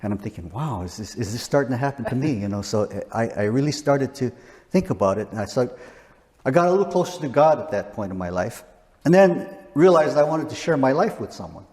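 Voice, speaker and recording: A man's voice: mid-range voice, lively intonation, a little fast, a little mellow. Chatty older man in a reverberant hall. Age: 60s. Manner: rambling, frequent restarts and chuckles. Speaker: interview guest, missionary. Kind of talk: casual conversational interview.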